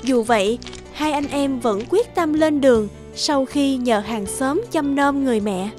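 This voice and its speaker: A female narrator reads a scripted fairy tale. Her voice is high-pitched, and light music plays softly behind her. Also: unhurried.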